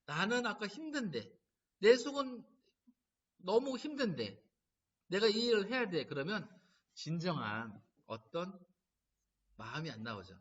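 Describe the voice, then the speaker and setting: Middle-aged man, laughter therapy lecturer, bright lively voice, distant lo-fi sound. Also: high-pitched, measured pace, pauses often, slightly rough. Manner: lively storytelling, animated quoting. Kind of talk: lecture to caregivers. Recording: distant mic, dull lo-fi sound.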